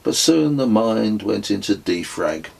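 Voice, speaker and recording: A man reading his own travel memoir as an audiobook, with a husky voice and measured, deliberate storytelling. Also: on the low side, animated, a little mellow. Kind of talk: scripted audiobook narration.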